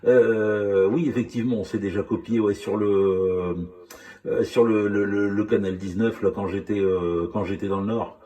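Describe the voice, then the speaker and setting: A man in a casual radio chat as a hobby CB operator, speaking haltingly and often repeating words. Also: fairly low pitch, steady tone, dark tone.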